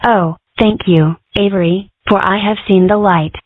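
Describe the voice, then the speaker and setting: A woman's voice: medium pitch, steady tone, a little slow, no echo, lightly acted. A woman voicing a brash, sardonic young girl fox in a cartoon comic dub, with a dry, sarcastic delivery. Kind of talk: comic strip dub acting. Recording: tinny phone-like band-limited audio.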